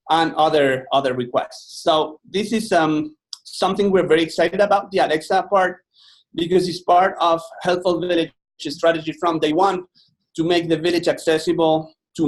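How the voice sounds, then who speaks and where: A man's voice: a little high, rough recording. Spanish-accented man giving a webinar demo. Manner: relaxed, many fillers and restarts. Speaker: software company presenter.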